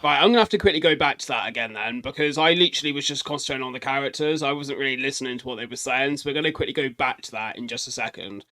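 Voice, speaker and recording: A man's voice: mid-range voice, racing, hardly any pauses, pushed and bright. Casual rambling man reacting to a video. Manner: rambling, addresses viewers directly. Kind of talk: casual chatty reaction commentary.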